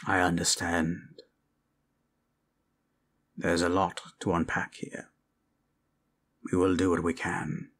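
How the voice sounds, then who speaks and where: A man voicing a solemn undead mentor in a fantasy ASMR roleplay, speaking gravely and deliberately. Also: low-pitched, flat delivery, a bit bright, pitched below the performer's own voice, full character voice. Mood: grave, measured.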